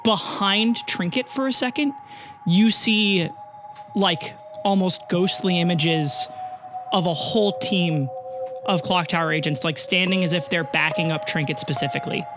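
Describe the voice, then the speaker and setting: A woman narrating a tabletop roleplay audio drama as game master, casual in wording with dramatic pauses. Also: fairly low pitch, over music.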